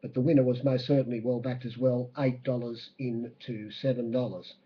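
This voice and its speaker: A husky, croaky Australian man recaps horse races. He speaks haltingly and matter-of-factly, like someone working from memory as a bookmaker. The audio is a little dull and lo-fi. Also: mid-range voice, level tone. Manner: halting, hesitant, matter-of-fact. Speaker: racing bookmaker giving a race-day report. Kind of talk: spoken horse racing recap.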